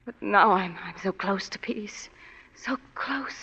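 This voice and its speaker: An actress playing a scheming, seductive woman who pleads in a breathy way, in 1940s radio acting. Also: mid-range voice, slow pace, sharp tone, full character voice. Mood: desperate. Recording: dull 1940s radio broadcast recording.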